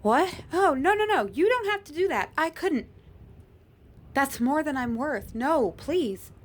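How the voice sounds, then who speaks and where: A shy, humble young flower girl from a fantasy roleplay, played by a woman. Her voice sits a little high, and she speaks softly and politely with quaint, old-fashioned phrasing. Her manner stays gentle and grateful. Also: lively intonation, unhurried.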